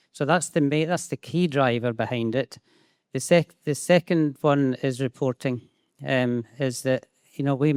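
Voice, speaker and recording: A man's voice: mid-range voice, a little mellow, clear, resonant tone, dry recording, studio quality. A Scottish man speaking on a conference panel about payroll, talking with many fillers and restarts. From Scotland. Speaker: payroll process owner, panelist. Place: conference stage.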